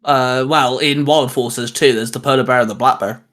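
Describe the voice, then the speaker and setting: A man's voice: medium pitch, fairly even intonation, normal speed, a bit bright. A man co-hosting in a casual, geeky chat, talking in an enthusiastic, informal way. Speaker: co-host of a hobby video channel.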